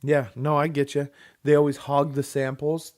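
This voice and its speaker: A man's voice: a little high, flat delivery, moderate pace, a little mellow. Joking young man, loose banter. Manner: loose, joking, swears casually. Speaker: podcast co-host. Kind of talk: casual chat.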